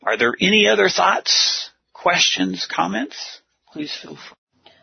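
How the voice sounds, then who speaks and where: A man preaching and teaching a Bible lesson, speaking in deliberate, earnest short phrases with a husky, gravelly voice. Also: high-pitched, big pitch swings, no room sound. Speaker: preacher leading a church lesson. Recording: muffled lo-fi audio.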